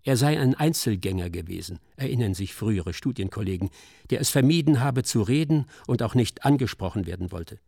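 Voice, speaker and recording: A man reading scripted documentary narration in a sober, measured, articulate manner. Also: fairly low pitch, lively intonation, creaky, dark tone, no echo, studio quality.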